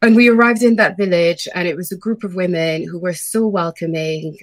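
A woman's voice: lowish voice, fairly even intonation, a little fast, no room sound. A woman in her thirties who grew up in France, a guest in a casual interview, telling her story with frequent ums. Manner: friendly, storytelling with many ums. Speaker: guest, brand founder.

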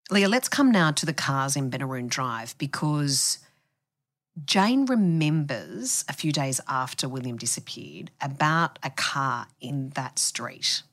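An Australian woman hosting a podcast, interviewing a journalist in a measured style. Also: a bass voice, lively intonation, a bit bright, no room sound, clean studio sound.

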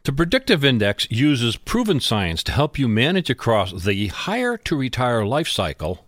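A man's voice: medium pitch, wildly swooping pitch, normal speed, fairly bright tone, no echo, clean studio sound. A man hosting a radio business show, speaking informally and bluntly in a conversational interviewing style.

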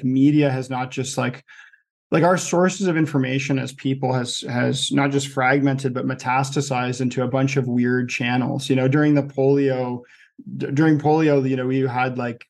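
A man with a Canadian accent hosting a podcast, talking things through slowly with frequent restarts and fillers. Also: medium pitch, darkish tone. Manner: thinking aloud, many restarts and "like". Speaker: podcast host. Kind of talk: conversational podcast discussion.

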